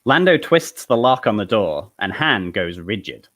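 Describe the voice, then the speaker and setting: A man's voice: on the low side, sing-song, unhurried, a bit bright. A man narrating stage directions at a comedic table read of a film script.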